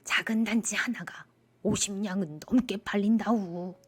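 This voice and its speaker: A woman's voice: medium pitch, moderate pace, slightly rough, voice pushed down, natural voice. A woman voicing a male honey seller with folksy, old-fashioned speech in a storybook tale. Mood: puzzled, matter-of-fact. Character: plain-spoken male market honey seller.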